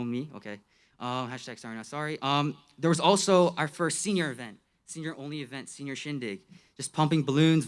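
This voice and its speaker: A teenage male student delivers a graduation address at an ordinary middle pitch. He is playful and tongue-in-cheek, mixing earnest, emphatic phrasing with youth slang and deadpan asides. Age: late teens. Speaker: graduating student giving a class speech.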